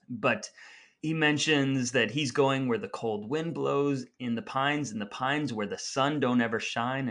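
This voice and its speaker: A man co-hosting a podcast, talking through music history in a conversational, storytelling way with measured phrasing. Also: medium pitch, a bit bright. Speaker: podcast co-host.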